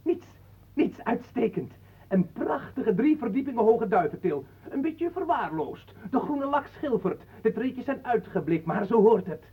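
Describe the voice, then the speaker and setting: An actor playing a sly, fussy artist and flowery flatterer in a radio play, theatrical and ingratiating, with a husky voice. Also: male voice, extremely high pitch, measured pace, heavily acted. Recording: muffled, narrow-band old radio recording.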